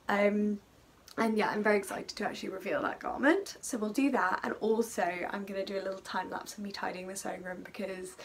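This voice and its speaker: A young woman chatting upbeat and fast in a casual sewing vlog, recorded on a camera at arm's length in a small room at home. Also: medium pitch, fairly bright tone, a little hiss or hum. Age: young adult. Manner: chatty and upbeat, quick asides. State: croaky voice. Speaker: sewing vlogger. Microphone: phone or camera at arm's length.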